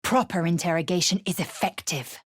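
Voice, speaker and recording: An actress voicing a fierce, proud alien warrior woman in a fantasy video game, blunt and contemptuous, with husky, clipped, commanding, formal delivery. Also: on the low side, fairly quick, no echo, clean studio sound. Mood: cold, disdainful. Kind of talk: dramatic fantasy game voice lines.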